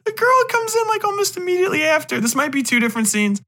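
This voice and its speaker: A man's voice: very high voice, sing-song, fast, pushed and bright, slight background noise. A man hosting a podcast, casually ranting about a film in a blunt, mocking, profane way and acting out bits of dialogue. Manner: acts out imagined dialogue while retelling scenes. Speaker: podcast host. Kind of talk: casual, rambling comedic film rant.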